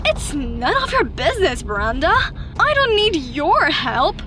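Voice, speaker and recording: Woman putting on a very high, bratty teenage girl. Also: very animated, measured pace, sharp tone, heavily acted. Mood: annoyed. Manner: boastful, dismissive, whiny when playing helpless. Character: bratty, self-absorbed teenage pick-me girl, feigning sweetness. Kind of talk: animated story dialogue.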